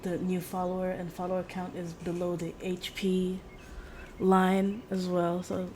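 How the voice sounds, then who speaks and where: Low-pitched, unhurried woman streamer. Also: completely flat delivery, a little mellow, slight background noise. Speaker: game streamer. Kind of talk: casual livestream chat. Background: music.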